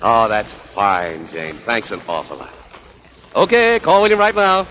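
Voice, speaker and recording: An actor playing a sarcastic, hot-tempered theater director in a 1940s radio play. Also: male voice, lowish voice, big pitch swings, measured pace, pushed and bright, in a large hall, exaggerated voice. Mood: coaxing. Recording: faint hiss of old radio.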